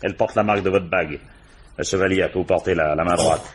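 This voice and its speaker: An actor playing a shrewd, authoritative police commissioner who questions insistently and methodically in a French radio crime drama. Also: male voice, sits low, fast talker, slight background noise, lightly acted. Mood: accusing.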